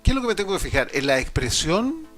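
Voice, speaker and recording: A man's voice: high-pitched, big pitch swings, quick pace, a bit bright, faint music behind. A man hosting with a Chilean accent, asking chatty, rambling questions in a studio. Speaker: show host. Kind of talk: interview questions, casual. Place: broadcast studio.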